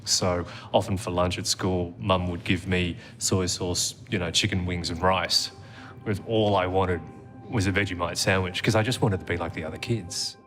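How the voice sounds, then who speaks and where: Guest: middle-aged man, low voice, measured and reflective, music bed under the talk. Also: steady tone, a bit bright. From Australia. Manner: reflective storyteller, measured with frequent "um"s. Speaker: interview guest. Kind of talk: conversational interview.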